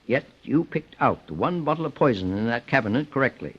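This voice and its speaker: A shrewd, relentless private eye from an old radio play. His formal, deliberate delivery rises and falls widely as he presses his accusation. The recording is dull and vintage. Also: medium pitch, expressive, slightly rough. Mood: firm, accusing. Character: shrewd, calm, relentless male private detective. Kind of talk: old-time radio drama acting.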